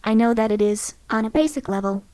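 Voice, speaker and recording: A woman's voice: on the high side, a little fast, a little mellow, natural voice. A woman voicing a secretive, teasing female game companion who talks in a cool, guarded way. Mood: wry. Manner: cool, guarded, slightly condescending. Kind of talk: game voice line previews.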